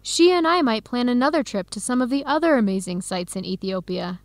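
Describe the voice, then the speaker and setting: A woman playing a cheerful, adventurous young American in a scripted radio drama, speaking clearly and brightly with an American accent. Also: on the high side, lively intonation, a little fast, no echo. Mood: enthusiastic. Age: young adult.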